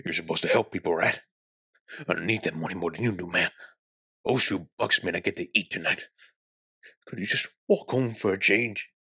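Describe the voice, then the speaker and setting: A man acting as a shameless street beggar in a comic dub. His voice is low and husky, nagging in a slangy way, and very closely recorded with no room sound. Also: moderate pace, long pauses, bright, forceful tone, dry recording, clean studio sound, heavily acted. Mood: wheedling.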